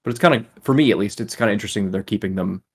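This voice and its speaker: A man's voice: lowish voice, very fast, slightly rough. A man co-hosting a gaming podcast, chatting in a casual, informal style. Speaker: podcast co-host.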